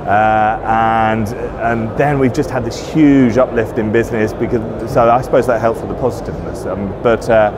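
A man's voice: on the low side, steady tone, normal speed, hardly any pauses. A man in his forties, a company director, speaking conversationally and enthusiastically in an interview, often restarting his sentences. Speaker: managing director being interviewed. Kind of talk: conversational trade-show interview. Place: large indoor trade show floor. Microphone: lapel. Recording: noisy busy exhibition hall. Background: loud trade show ambience.

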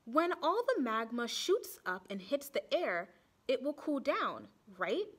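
A woman voicing a bright, excited schoolgirl in a storybook, speaking eagerly and emphatically. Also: high voice, slow, breathy edge, exaggerated voice. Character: bright, excited schoolgirl working out science puzzles.

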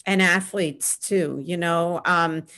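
A middle-aged woman on a video call panel, giving earnest practical advice and often pausing with "um". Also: lowish voice, fairly even intonation, sharp tone. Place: small home room with framed pictures. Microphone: webcam on a video call.

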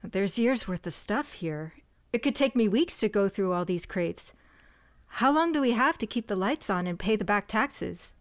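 An actress voicing a quiet, stubborn young woman who dabbles in magic, in an audio drama, speaking plainly and earnestly. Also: medium pitch, lively intonation, normal speed, no echo, studio quality, natural voice. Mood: wistful. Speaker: shop heir and cousin.

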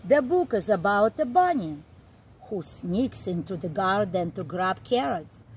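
A middle-aged woman reads a story aloud for small children. Her pitch is medium and moves in lively swings, while her pace stays slow and deliberate with simple emphasized phrases. She is recorded outdoors, a short distance from the microphone. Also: husky. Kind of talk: reading a picture book aloud to young children. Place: outdoor backyard, seated on a garden seat.

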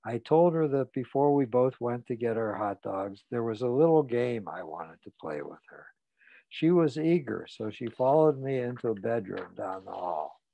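A man's voice: mid-range voice, expressive, vocal fry, dry recording, narrow, tinny audio. A man reading an audiobook, voicing a frightened, ashamed man who speaks in a muted voice. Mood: shamed. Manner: muted, halting, confessional. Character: ashamed, frightened middle-aged man confessing.

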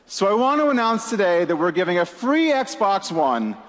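A man's voice: sits high, sing-song, moderate pace, a little hiss or hum. A man giving an upbeat conference keynote, emphatic, with a few "you know" fillers. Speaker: keynote presenter. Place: large conference hall. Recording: reverberant hall with audience.

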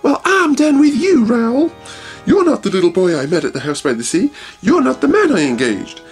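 A man voicing a frightened, defiant young woman in a high falsetto, reading a story aloud. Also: big pitch swings, moderate pace, soft background music, exaggerated voice. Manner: high falsetto, pleading then shrill.

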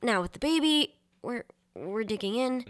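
Woman playing a high-voiced, kind young wife. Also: animated, moderate pace, no echo, studio quality, lightly acted. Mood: consoling. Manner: reassuring, hesitant. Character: kind, hopeful young mother and policeman's wife. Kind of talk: radio drama acting.

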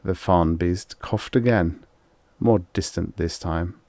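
A man's voice: low-pitched, slow, warm tone, dry recording, studio quality. A man reading vintage science fiction as an audiobook narrator, in scripted storytelling style.

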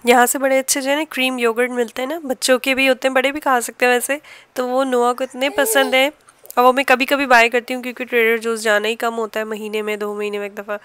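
High, clear-voiced Pakistani woman vlogger. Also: level tone, fast, fairly bright tone. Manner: chatty, explanatory, homely everyday talk. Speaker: home cooking and family vlogger. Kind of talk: casual vlog voice-over narration.

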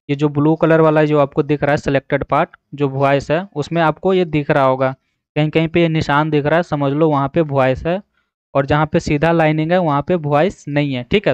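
Male tutorial narrator, smooth voice on the high side, instructional with frequent check-ins, dull close recording. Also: steady tone, a little fast, dry recording. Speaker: tutorial host. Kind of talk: step-by-step app tutorial narration. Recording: slightly dull, dry voice-over.

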